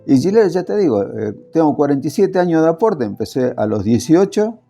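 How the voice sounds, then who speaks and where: Older man, from Italy, hesitant, many fillers, gravelly, mellow tone. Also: on the high side, very animated, fairly quick, faint music behind. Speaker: interviewee, former factory worker. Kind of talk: casual interview.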